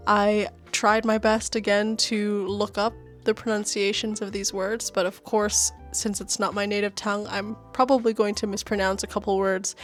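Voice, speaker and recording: Chatty woman hosting a cozy craft podcast. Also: mid-range voice. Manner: soft, chatty storytelling with casual asides. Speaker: podcast host. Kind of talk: relaxed craft podcast narration.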